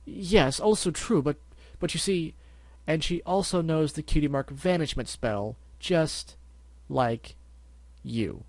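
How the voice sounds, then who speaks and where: A man's voice: a little high, slow pace, no room sound, pitched above the performer's own voice. A man putting on a fussy, theatrical unicorn stallion. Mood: prissy.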